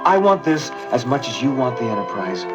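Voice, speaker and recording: A man's voice: medium pitch, very animated, a little fast, natural voice. A man playing an earnest, impulsive starship officer, emphatic and eager, on a read-along record. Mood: determined. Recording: dull vintage read-along record with music.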